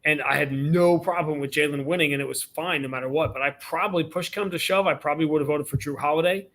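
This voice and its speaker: Brief: male play-by-play announcer as interview guest, slightly high voice, emphatic and animated, restarts his sentences. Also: fast. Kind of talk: casual sports interview.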